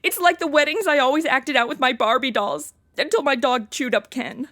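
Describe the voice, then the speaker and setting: A woman's voice: high-pitched, brisk, a bit bright, no room sound, studio quality. A woman playing a gushing, blunt, slangy modern young woman in a comedy audio drama. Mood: gushing, excited.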